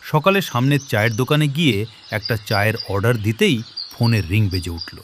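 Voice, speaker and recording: A man narrating a scripted horror story in a dramatic, suspenseful, expressive way. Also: on the low side, fairly quick, a little hiss or hum. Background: suspense music, cricket sounds.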